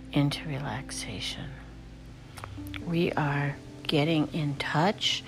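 A woman with a low, soft voice leads a guided meditation, often dropping to a whisper. She speaks slowly and soothingly, with music playing clearly underneath. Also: rough recording. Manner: slow, soothing, unhurried prompts.

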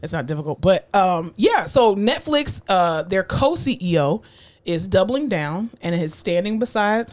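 A woman co-hosting a casual podcast chat, blunt and animated, swearing freely and joking as she rants. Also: medium pitch, measured pace. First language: English. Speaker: podcast co-host.

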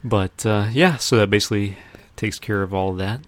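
A man's voice: on the low side, normal speed, no room sound. A man co-hosting a podcast, talking casually about pop culture. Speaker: podcast co-host.

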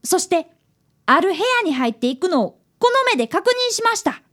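Woman playing an earnest little boy detective, very high-pitched. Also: big pitch swings, normal speed, a bit bright, heavily acted. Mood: earnest narrating. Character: earnest, polite fifth-grade boy, apprentice detective. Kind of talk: radio drama acting and narration.

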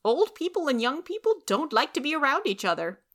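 Woman acting a lonely old storybook lady, plaintive, forthright, bright tone, expressive swings. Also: sits high, fairly quick, pitched above the performer's own voice. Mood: frank.

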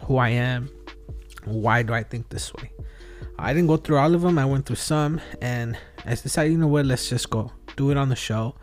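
A man's voice: mid-range voice, a little mellow. Rambling thirties man musing aloud. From outside the US. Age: 30s. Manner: rambling, self-questioning, with frequent fillers and restarts. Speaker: podcast host. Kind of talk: solo reflective podcast monologue.

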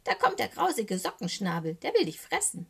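A woman voicing a small cheeky young male raven in a children's storybook, croaky and excitable, with a wheedling, anxious way of talking. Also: medium pitch, brisk, full character voice. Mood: urgent, scheming. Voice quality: croaky, squawky.

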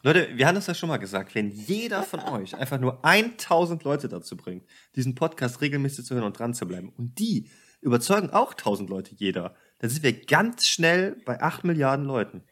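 A man's voice: medium pitch, big pitch swings, a little fast, hardly any pauses, fairly bright tone. Male podcast host at home: chatty, jokey, voice slightly worn from a day of talking. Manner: jokey, chatty, addresses listeners. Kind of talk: casual podcast chat. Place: home apartment.

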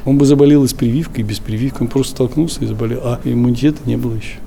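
Very dark-voiced man explaining, noisy recording. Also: mid-range voice, fairly even intonation, rapid-fire, creaky. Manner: explanatory, instructive. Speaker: doctor being interviewed. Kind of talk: informal spoken explanation.

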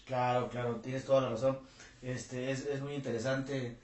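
A man hosting a casual interview, speaking warmly and haltingly with many fillers. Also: medium pitch, level tone. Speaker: interview host, channel host. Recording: roomy echo.

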